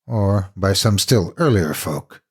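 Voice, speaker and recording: A man's voice: low voice, animated, slow pace, husky, full character voice. A man voicing a grim, cryptic castle factor in a horror story, terse, cautious and ominous. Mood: grave, uneasy. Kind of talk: horror audiobook character acting.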